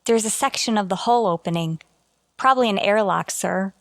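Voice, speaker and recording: A woman's voice: medium pitch, normal speed, creaky, no room sound, natural voice. A woman playing an eager, brave and deferential spaceship officer in a sci-fi radio drama, reporting in a clipped, respectful military way. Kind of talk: golden age sci-fi radio drama acting.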